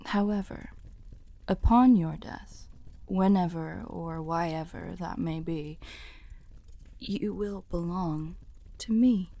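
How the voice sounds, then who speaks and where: A woman playing a teasing, sly demon in a scripted ASMR roleplay, speaking formally in soft, breathy half-whispers close to the microphone. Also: fairly low pitch, dry recording. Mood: sly bargaining. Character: teasing, sly female demon offering a soul contract.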